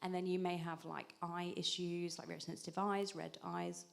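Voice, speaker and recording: Female panelist, 30s, low gravelly voice, talks it through with ums and restarts. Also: level tone, moderate pace. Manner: explanatory, frequent ums and restarts. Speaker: acupuncturist panelist. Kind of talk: conversational panel explanation.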